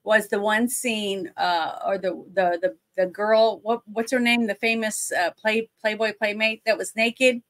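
Blunt woman with a deep voice. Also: steady tone, a little slow, few pauses. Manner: blunt, opinionated, laughs often. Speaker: co-host. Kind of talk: casual movie-review chat. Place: indoors at home. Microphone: webcam on a video call.

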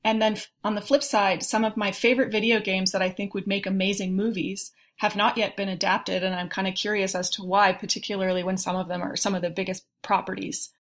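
A woman's voice: medium pitch, level tone, fairly quick, few pauses, a bit bright. A woman speaking as a podcast guest in a casual panel discussion, thoughtful and discursive.

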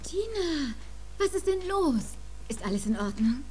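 A woman's voice: high-pitched, sing-song, a little slow, noisy background. A woman in an audio drama playing a worried, scolding mother. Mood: concerned. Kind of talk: German audio drama acting.

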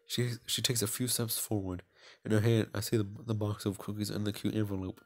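A man reading a visual novel aloud with casual side comments, halting and stumbling now and then. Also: fairly low pitch, flat delivery, a little mellow. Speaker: video game player and narrator.

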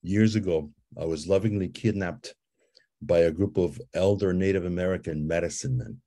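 A man from Guatemala who leads ceremonies, guiding listeners through a slow, calm visualization and telling a story with soft repeated invitations. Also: deep voice. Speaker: ceremony leader guiding a visioning exercise.

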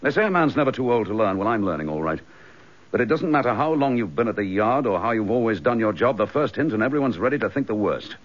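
An actor playing an embattled, indignant British police chief inspector in a radio drama, clipped, forthright and sardonic. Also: male voice, lowish voice, lively intonation, fast. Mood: bitter, sarcastic. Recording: slightly dull radio drama recording.

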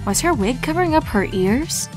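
A woman's voice: high voice, lively intonation, moderate pace. A woman reading a horror story aloud as a scripted, dramatic narration. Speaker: fan fiction reader and narrator. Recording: music bed under the voice. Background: background music.

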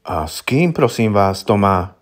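Polite, coaxing police investigator, low-voiced man reading. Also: very animated. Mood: probing. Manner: deferential, coaxing, colloquial questioning. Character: polite, candid, inquisitive male police investigator. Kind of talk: audiobook character voice.

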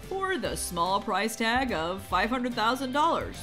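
A woman's voice: fairly high pitch, very animated, unhurried, sharp tone, faint music behind, full character voice. A woman playing an upbeat, pushy real-estate agent with a chirpy sales manner in a game roleplay story. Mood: coaxing.

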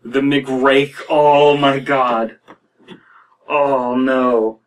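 A man in his thirties reading and reacting to stories as a podcast host, in a halting, wry, casual way. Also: mid-range voice, steady tone, recorded from a distance, poor audio. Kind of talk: casual commentary and story reading. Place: small dim room indoors.

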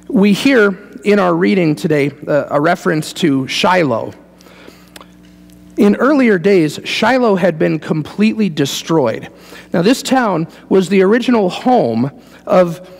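A man's voice: high voice, gravelly, darkish tone. A middle-aged American man preaching a sermon in a wry, folksy, conversational way, in a large reverberant church. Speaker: pastor preaching. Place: large church sanctuary, indoors, standing before a congregation.

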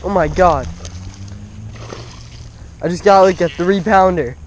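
A young man filming his own fishing, calling out excitedly as he hooks and lands fish by a pond outdoors, recorded on a head-mounted camera. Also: sits high, slow, poor audio. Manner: exclaims often while reeling in fish. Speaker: fishing vlogger. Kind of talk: excited casual commentary. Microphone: head-mounted action camera.

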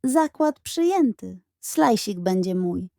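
A woman's voice: medium pitch, sing-song, slow, gravelly, warm tone, no room sound, clean studio sound, exaggerated voice. A woman voicing a boastful, determined, self-important young boy in a children's audio story. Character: Piotrek, boastful, determined young boy, an older-acting brother.

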